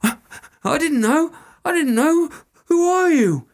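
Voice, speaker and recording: A man's voice: very high voice, animated, takes it slowly, voice pushed up, exaggerated voice. A man playing a stammering, panicky soldier. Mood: panicky, pleading. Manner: stammering, pleading.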